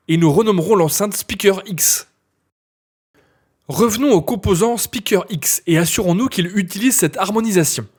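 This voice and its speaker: A man's voice: high voice, husky. A man narrating a scripted tutorial as an instructor, explaining things step by step.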